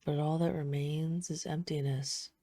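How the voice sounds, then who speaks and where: Woman acting as a poised vampire lady, deep feminine voice, soft-spoken, flirtatious, close dry sound, audio roleplay. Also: level tone, a little slow, dry recording. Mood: wistful, hushed. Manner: soft-spoken, teasing, smooth formal phrasing. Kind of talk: intimate scripted audio roleplay addressed to the listener.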